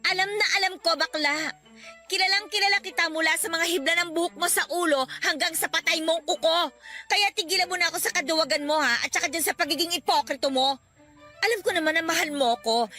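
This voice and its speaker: A woman's voice: extremely high pitch, fairly quick, pushed and bright. An actress playing a persistent, playful young mother in a radio drama, nagging and coquettish, with music underneath. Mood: insistent. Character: persistent, teasing young mother in love with best friend.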